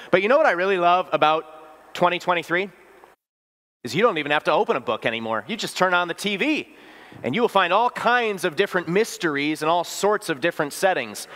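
A man's voice: a little high, bright, forceful tone. A male pastor in his thirties preaching a sermon in a church sanctuary, conversational and storytelling, asking the congregation questions. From Wisconsin, US. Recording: long church reverb.

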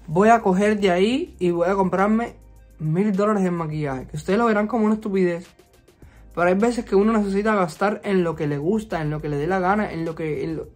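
A young man from Cuba with a Cuban Spanish accent and a notably high-pitched voice. He talks in a chatty, expressive way, full of fillers and playful sass, like a vlogger telling a story. Faint music plays underneath. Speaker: YouTuber. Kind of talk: casual storytime vlog. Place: resort vacation rental.

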